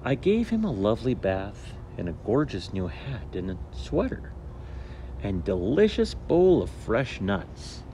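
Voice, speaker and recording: Slow, animated male children's storyteller. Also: lowish voice. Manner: animated, theatrical, deliberate phrasing. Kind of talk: expressive picture-book read-aloud for children. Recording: steady background noise.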